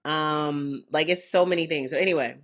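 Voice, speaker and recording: A woman's voice: low voice, normal speed. A young woman, Haitian American, co-hosting a podcast in casual, joking chat. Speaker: podcast co-host.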